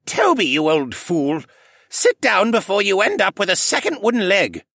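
A man's voice: sits high, wildly swooping pitch, moderate pace, creaky, a bit bright, slightly rough, dry recording, clean studio sound, voice pushed up, heavily acted. A man voicing a tipsy old male koala butler with a slightly slushy, theatrical way of reciting. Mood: mocking.

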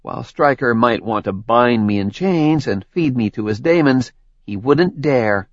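A male narrator voicing a cheerful, gently teasing young woman with a light, dryly sarcastic manner. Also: medium pitch, expressive, unhurried, no echo, natural voice. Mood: chatty. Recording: dry, slightly dull audiobook recording.

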